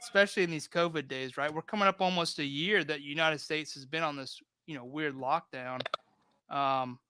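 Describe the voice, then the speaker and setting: A man's voice: a little high, moderate pace, noisy background. A man in his thirties hosting a casual livestream, rambling in a laid-back way and reading chat comments aloud. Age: 30s. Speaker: host of a diorama livestream.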